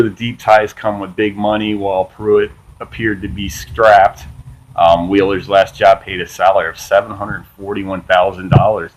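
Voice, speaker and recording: A man hosting a small political commentary channel, reading from an article and chatting casually, with a flat monotone delivery and lots of ums and uhs. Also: deep voice, slight background noise, poor audio. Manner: swears casually, says "man" often.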